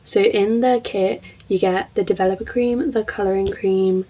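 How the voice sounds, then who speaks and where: A young woman from the UK chatting casually to camera as a vlogger, her voice a little croaky from being ill, self-deprecating and thinking aloud as she works. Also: medium pitch, steady tone, moderate pace, far from the mic, heavy background noise. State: slightly croaky from illness. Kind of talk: casual vlog talk to camera. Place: home, in front of a mirror.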